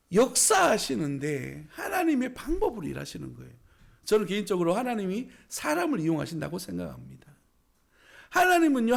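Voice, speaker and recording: A male pastor preaching a sermon in a small church, hoarse from a cold, with emphatic rising exclamations. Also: sits high.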